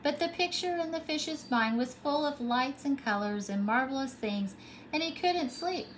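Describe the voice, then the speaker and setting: A woman's voice: high voice, expressive, moderate pace, slight background noise. A woman pre-K teacher reading a storybook aloud to young children, expressively and with simple explanations.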